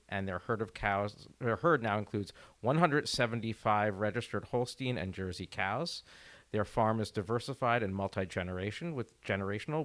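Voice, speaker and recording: A man's voice: lowish voice, fairly bright tone. A man moderating a public meeting, introducing the next speakers with a light personal story.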